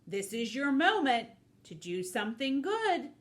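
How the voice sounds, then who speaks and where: A woman's voice: sits high, big pitch swings, measured pace, pitched above the performer's own voice. A woman voicing an earnest, encouraging and anxious male wolf in a children's storybook, eager and trusting. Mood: hopeful, earnest. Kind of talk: children's storybook character reading.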